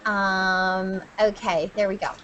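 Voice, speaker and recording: A woman's voice: mid-range voice, little pitch movement, slow, fairly bright tone, slight background noise. A woman hosting a live video-call interview, bubbly and a bit hesitant, with frequent ums. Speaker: host.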